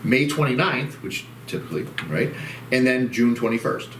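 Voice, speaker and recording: A man's voice: medium pitch, animated, normal speed, a bit bright. A man co-hosting a casual real estate chat show, rambling with restarts and upbeat sign-offs, with a soothing voice. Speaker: co-host of a title company show. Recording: roomy, distant mic, faint background noise.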